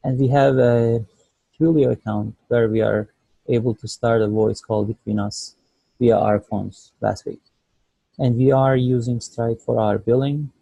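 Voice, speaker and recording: A man presents a team update over a video call. His voice is mellow and gravelly, with a slow, halting delivery and a dry, close sound. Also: medium pitch, steady tone, no echo, dull audio. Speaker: student developer presenting a team project check-in. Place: indoors at home, on a video call.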